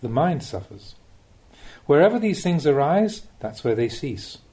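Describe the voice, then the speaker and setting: Mellow-voiced man reading a Dhamma talk. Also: a little high, very animated, unhurried. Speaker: Buddhist monk reading a teacher's collected talks.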